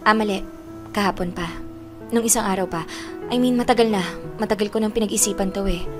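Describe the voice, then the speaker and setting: A woman's voice: medium pitch, steady tone, brisk, close to an everyday voice. A Filipino woman playing a frank, preoccupied college girlfriend in a naturalistic audio drama. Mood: hesitant, serious. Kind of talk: naturalistic radio-style drama acting. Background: clear background music.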